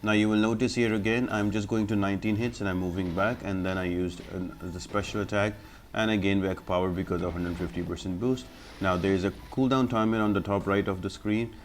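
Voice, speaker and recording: A man's voice: on the low side, fairly even intonation, fairly quick, slight background noise. A man giving explanatory gameplay commentary as a guide host, in an instructional, step-by-step manner.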